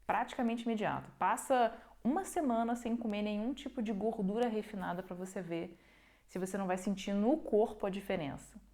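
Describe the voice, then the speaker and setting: Young woman on a phone livestream, casual and emphatic, keeps asking "right?", middle pitch. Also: brisk, darkish tone. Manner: emphatic, repeats points, uses tags like "tá?" and "né?". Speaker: nutrition live host.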